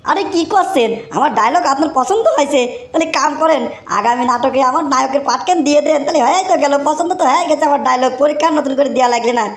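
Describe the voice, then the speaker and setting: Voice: high voice, racing, roomy sound. An actor voicing a swaggering young village tough in a comic cartoon, declaiming like a folk-theatre hero with a rural Bangladeshi accent. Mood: eager.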